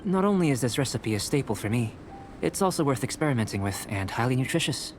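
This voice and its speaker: A man playing a composed young alchemist in a video game, speaking in a measured, formal, analytical manner. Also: mid-range voice, sing-song, noisy background, close to an everyday voice. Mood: calm, analytical. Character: calm, courteous young male alchemist and researcher. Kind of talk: video game character dialogue.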